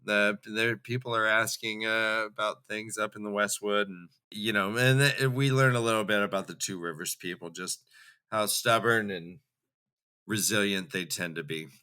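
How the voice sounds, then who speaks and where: A man co-hosting a book show, retelling a story in a casual, jokey, slangy and animated way. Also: lowish voice, moderate pace, clear, resonant tone. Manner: animated and slangy, acting out dialogue in bits. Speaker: co-host of a fantasy book re-read show.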